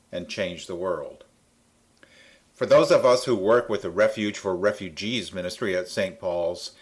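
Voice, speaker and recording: A man speaks in an earnest, measured way, his voice sitting on the low side. His delivery is calm and scripted. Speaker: ministry volunteer. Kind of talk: scripted narration.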